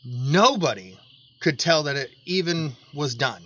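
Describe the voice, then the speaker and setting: A man's voice: fairly high pitch, expressive, a little slow, a bit bright. An American man co-hosting a podcast, telling personal stories in an earnest, rambling way and repeating phrases for emphasis. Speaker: podcast co-host, tradesman. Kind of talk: casual chat and personal anecdotes. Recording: dull lo-fi audio with noticeable background noise.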